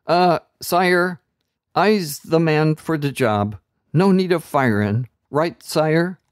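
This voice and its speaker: A man putting on a jittery young orphan from a storybook, his voice raised and talking in rough street slang. He sounds eager and nervous, recorded close and dry. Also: fairly high pitch, slow, dry recording, pitched above the performer's own voice.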